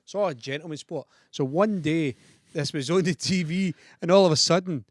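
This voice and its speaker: A man's voice: a little high, moderate pace, dry recording, clean studio sound. Scottish man telling a story. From Scotland. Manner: storytelling, laughs often. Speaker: podcast guest. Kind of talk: casual chat.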